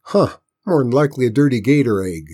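A man's voice: medium pitch, expressive, fairly quick, husky. A man voicing a stern, bossy, scolding country aunt with a rural Southern US accent for a story. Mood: suspicious, scolding. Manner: sharp, bossy, loud. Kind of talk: storybook character voice in narration.

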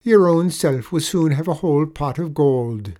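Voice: medium pitch, moderate pace, warm tone, pitched above the performer's own voice, close to an everyday voice. A performer voicing a wily little Irish leprechaun man in a storybook tale, coaxing with a mournful lilt. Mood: sly, sorrowful. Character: wily little leprechaun man spinning pitiful sob stories.

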